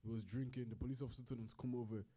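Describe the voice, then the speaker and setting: A man's voice: mid-range voice, steady tone, very fast, warm tone. A man casually retelling a story in a rambling, repetitive way and correcting himself as he goes. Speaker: co-host.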